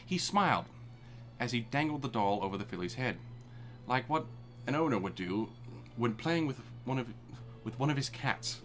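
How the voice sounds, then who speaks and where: A man's voice: mid-range voice, expressive, normal speed, a bit bright, a little hiss or hum. A man reading fan fiction aloud for laughs on a live stream. He reads dramatically, then breaks off to laugh and swear. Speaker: fanfic reader. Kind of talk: comedic live fanfic reading.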